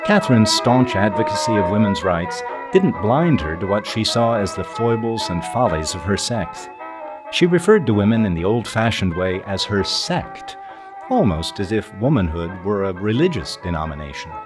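A man reading scripted documentary narration for a podcast in a measured, literary manner. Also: lowish voice, very animated, over music.

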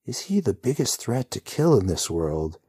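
A man's voice: medium pitch, big pitch swings, moderate pace, dark tone, slightly rough, no echo, studio quality, pitched above the performer's own voice. Man voicing a stern earnest heroine. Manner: earnest, urgent. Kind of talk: storybook reading.

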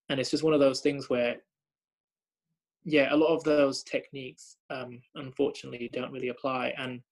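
Young doctor from Thailand, low flat gravelly voice, halting reflective talk. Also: male voice, poor audio. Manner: thoughtful, many fillers and repeated starts. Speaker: hospital doctor. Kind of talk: reflective conversation.

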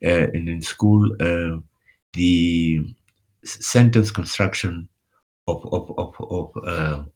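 Older man, Afrikaans speaker, very deep husky voice, halting interview answers with frequent restarts. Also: darkish tone. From Namibia. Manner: halting, full of restarts and repeated words. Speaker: interviewee, former liberation activist and tour guide.

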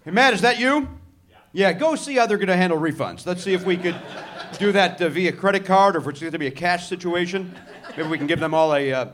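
A man's voice: high voice, fast, fairly bright tone. A man hosting a live comedy show in a theatre, riffing on the crowd with sarcastic, profane asides. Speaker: comedy podcast host. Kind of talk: live stand-up banter with the audience. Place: theatre stage before a live audience.

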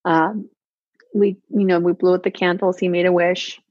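A woman in her thirties chatting casually and telling stories in an animated way, quoting exchanges as she goes. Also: fairly low pitch, moderate pace, dry recording. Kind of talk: casual chat. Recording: slightly muffled, lo-fi audio.

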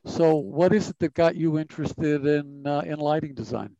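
Gravelly, hesitant male podcast host. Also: a little high, normal speed, warm tone, no room sound, muffled recording. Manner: hesitant, many fillers. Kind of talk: podcast interview.

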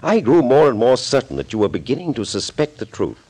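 An actor playing a suave, smug villainous doctor in a 1940s radio thriller, speaking politely and wordily. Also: male voice, mid-range voice, big pitch swings, brisk, a little mellow, slightly rough. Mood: smug, amused. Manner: polite, wordy, theatrical confessing.

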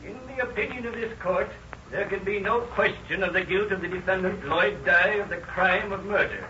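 A stern, declamatory judge in vintage radio drama. The voice is bright and formal. It rings out from far off in an echoey, muffled old recording. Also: male voice, high voice, moderate pace, slight background noise. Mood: stern, condemning. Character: stern male judge pronouncing a harsh sentence. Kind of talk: 1930s radio drama acting.

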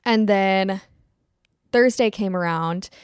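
A young woman co-hosting a podcast, telling stories in an animated, chatty way. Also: medium pitch, takes it slowly, smooth, dry recording, clean studio sound. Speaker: podcast co-host. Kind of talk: casual chat.

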